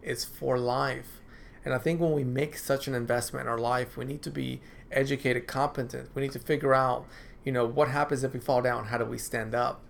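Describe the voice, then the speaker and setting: A man's voice: medium pitch, fairly even intonation, fairly quick, vocal fry, a little hiss or hum. A man who is a counselor, talking casually to camera from his office, often adding "right?" and repeating himself. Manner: conversational, repeats phrases, asks "right?" often. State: dry throat, clearing it. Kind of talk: informal talk to camera.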